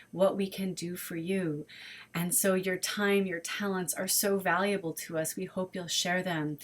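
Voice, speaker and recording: A woman who leads an online prayer service, making earnest, inviting announcements and listing ideas in long runs. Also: mid-range voice. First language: English. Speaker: program director and service leader.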